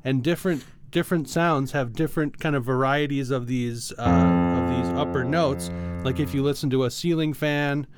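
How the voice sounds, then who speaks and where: A man's voice: mid-range voice, moderate pace, loud music behind the voice. A man co-hosting a podcast, explaining things step by step in a casual, informal way. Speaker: podcast co-host.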